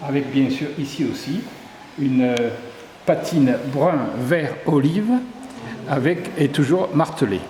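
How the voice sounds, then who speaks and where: Sculptor from southern France, somewhat high voice, walking through his exhibit; echoey hall, faint background noise. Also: takes it slowly, darkish tone. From southern Ardèche, France. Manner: descriptive, lists details with hesitations. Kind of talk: interview, explaining his artworks. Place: tourist office exhibition hall.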